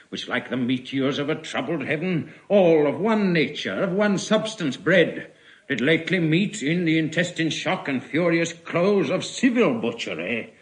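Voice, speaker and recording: An actor playing a weary, grave king in classical Shakespearean audio drama, declaiming in a measured, solemn way. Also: medium pitch, poor audio. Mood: stirred, proud. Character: weary, careworn English king, grave and regal.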